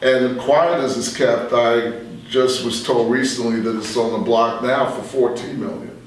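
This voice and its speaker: An older man recalls his radio days in a conversational, rambling way. His voice sits at a middle pitch. He is recorded at a distance from the microphone in a strongly reverberant room. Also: fairly even intonation, unhurried, a little hiss or hum. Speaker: interview guest, radio veteran. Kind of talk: conversational reminiscing.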